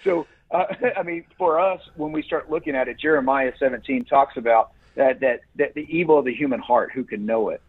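A man's voice: medium pitch, fairly quick, few pauses, slightly rough. A man who is a guest on the program, answering in a preacherly way with frequent restarts. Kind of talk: earnest interview answers. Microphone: phone. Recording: muffled phone line.

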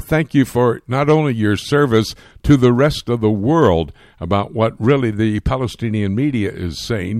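A man's voice: lowish voice, darkish tone, dry recording, clean studio sound. Emphatic American male radio host. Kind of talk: religious current-affairs broadcast interview.

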